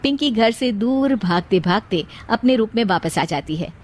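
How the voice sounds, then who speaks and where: A woman's voice: fairly high pitch, expressive, normal speed. A woman delivering scripted narration as the narrator of a moral story.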